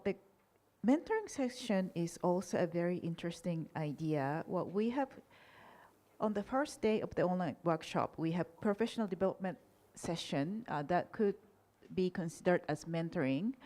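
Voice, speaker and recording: A woman whose first language is Japanese, answering audience questions at an academic conference in a polite, measured way with frequent fillers. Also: low-pitched, a little mellow.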